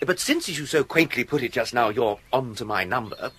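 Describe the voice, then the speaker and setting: An actor playing an urbane, unflappable English gentleman in a radio drama, verbose and politely mocking. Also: medium pitch, expressive, brisk, a little hiss or hum, lightly acted. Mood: suave, mocking. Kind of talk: 1980s radio drama acting.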